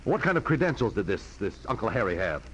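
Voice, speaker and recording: A pompous, stern businessman in an old 1940s radio drama. He talks quickly in clipped, formal phrases with a haughty edge. The recording carries a faint layer of noise. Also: lowish voice, sing-song, fairly bright tone, rough recording. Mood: sceptical. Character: stern, indignant, pompous businessman older brother.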